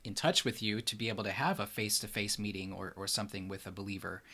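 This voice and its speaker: Bright-voiced man hosting a casual podcast. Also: fairly low pitch, fairly even intonation, fast, a little hiss or hum. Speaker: podcast host. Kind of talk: casual chat, interviewing.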